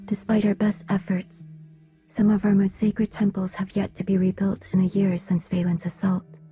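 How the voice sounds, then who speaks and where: A solemn alien envoy in a game cutscene, her voice very dark and mellow. She is reserved and formal, measuring out each sentence with restraint. Music plays behind her. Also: medium pitch, monotone, moderate pace, slightly rough. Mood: grave, formal. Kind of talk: video game cutscene dialogue.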